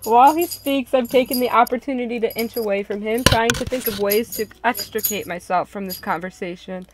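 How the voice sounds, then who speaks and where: A woman's voice: fairly high pitch, fairly quick, heavy background noise. A woman narrating a visual novel and chatting casually with a group.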